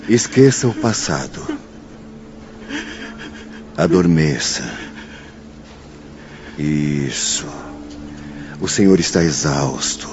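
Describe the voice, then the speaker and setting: An actor playing a calm spirit helper in a radio drama, gently hushing a sufferer with deliberate words. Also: male voice, extremely low pitch, dull audio, full character voice.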